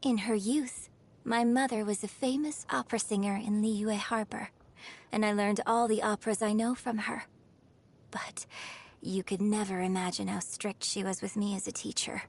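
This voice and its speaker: A woman's voice: mid-range voice, steady tone, normal speed, lightly acted. A woman playing a refined, gracious young opera singer and troupe director in a video game, with elegant, formal, poetic phrasing. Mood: reflective. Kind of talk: video game character voice lines.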